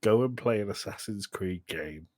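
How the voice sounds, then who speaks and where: Male podcast host, lower register, very dry sound, sarcastic and jokey in loose film banter. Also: moderate pace, dry recording. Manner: joking, sarcastic, exaggerating. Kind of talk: casual comedic film review chat.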